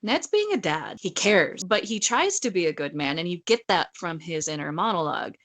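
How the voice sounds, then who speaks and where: A woman's voice: lowish voice, sing-song, normal speed, a bit bright. A woman co-hosting a casual book discussion, blunt and argumentative, often acting out quotes as she goes. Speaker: podcast co-host.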